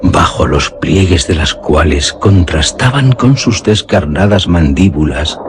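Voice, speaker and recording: A man's voice: sits low, animated, a little slow, heavy background noise. A man narrating a scripted gothic horror tale in a solemn, suspenseful, literary delivery. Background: music under the voice.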